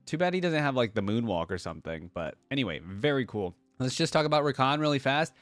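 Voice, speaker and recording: A man's voice: medium pitch, very animated, fast, no echo, faint music behind. A man hosting a reaction video, giving casual commentary.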